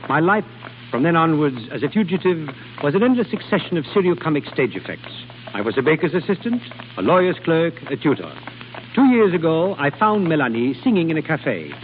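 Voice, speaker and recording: Brisk urbane schemer, tinny old radio. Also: male voice, fairly high pitch, very animated, slight background noise. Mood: wry, matter-of-fact. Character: worldly, penniless aristocratic fortune-hunter, male, coolly scheming. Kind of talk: 1930s radio acting.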